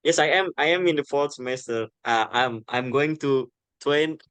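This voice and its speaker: A man's voice: mid-range voice, moderate pace, a bit bright, clean tone, no echo. A twenty-year-old man, a non-native English speaker and university student, interviewing a classmate with hesitant speech full of fillers.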